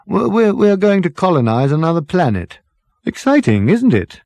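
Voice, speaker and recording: A man's voice: high-pitched, very animated, normal speed, a little mellow, dry recording, voice pushed up. A man voicing a genial, vague ship's captain, rambling politely in a comic science fiction audiobook. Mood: relaxed, genial. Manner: rambling, hesitant, chummy, polite upper-class politeness. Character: genial, vague, dithering male ship's captain, cheerfully unbothered.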